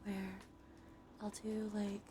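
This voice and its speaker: A woman livestream host speaking in a soft, breathy, often whispered voice, murmuring casually and unhurriedly to viewers in an ASMR tarot style. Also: medium pitch, completely flat delivery, takes it slowly, music in the background. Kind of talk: ASMR tarot reading stream.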